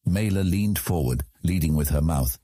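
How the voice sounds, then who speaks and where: A man with a husky voice reading scripted fantasy story narration as an audiobook narrator. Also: very deep voice, animated, normal speed, dark tone, no echo, clean studio sound.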